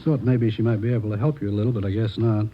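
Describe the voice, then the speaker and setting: An actor playing a calm, protective doctor in an old-time radio crime drama, with a brisk professional manner. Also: male voice, on the low side, close to an everyday voice. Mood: brisk, concerned. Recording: muffled old radio recording, faint hiss.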